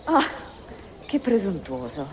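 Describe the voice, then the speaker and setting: An actress playing a cultured, curious young bookseller in a game cutscene, speaking expressively with an Italian accent. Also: female voice, on the low side, big pitch swings, unhurried, a little mellow, a little hiss or hum. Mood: surprised. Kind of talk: dramatic video game cutscene acting. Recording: clear music behind the voice.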